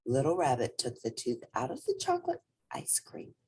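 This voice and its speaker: Low, husky, very expressive woman storyteller. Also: moderate pace. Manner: addresses young listeners directly. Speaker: storybook reader. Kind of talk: expressive children's read-aloud.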